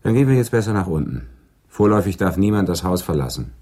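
An actor playing a matter-of-fact, blunt detective questioning a witness in a German radio crime drama. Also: male voice, deep voice, big pitch swings, fast, dark tone. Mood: businesslike.

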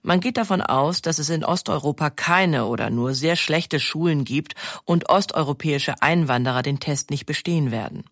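A narrator reading a scripted history documentary in a measured, explanatory way. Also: medium pitch, a bit bright, dry recording, clean studio sound.

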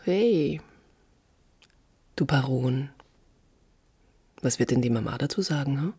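An actress playing a worn, teasing provincial prostitute in a radio drama, with a drawling, scornful, smoky delivery. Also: female voice, rumbling low, expressive, slow pace, mellow, slightly rough, exaggerated voice. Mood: mocking, flirtatious. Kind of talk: German radio drama acting.

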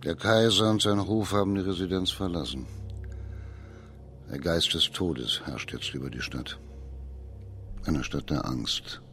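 Grave, low-voiced judge. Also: male voice, unhurried, pauses often, a bit bright, faint music behind. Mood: somber, weary. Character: grave, burdened judge and emergency governor of imperial China. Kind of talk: German radio play acting.

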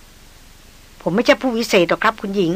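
A woman doing a calm, gruff male jungle hunter. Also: a little high, close to an everyday voice, poor audio. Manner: slow, steady, polite.

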